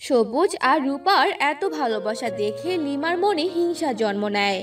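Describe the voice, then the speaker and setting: A woman's voice: high-pitched, lively intonation, unhurried, far from the mic, faint music behind. A woman reading a scripted fairy tale as its narrator.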